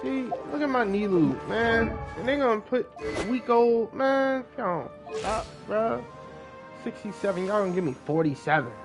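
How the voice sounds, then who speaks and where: A man's voice: high voice, animated, a little slow. A man giving casual, playful gameplay commentary as a streamer, talking in a slangy way. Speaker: gaming streamer. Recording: game music behind voice.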